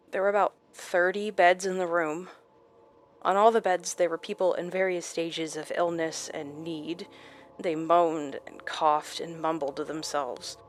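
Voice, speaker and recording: An actress playing a shaken woman recounting her captivity in a horror audio drama, halting and dramatic with deliberate pauses. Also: lowish voice, close to an everyday voice. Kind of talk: first-person horror audio drama narration.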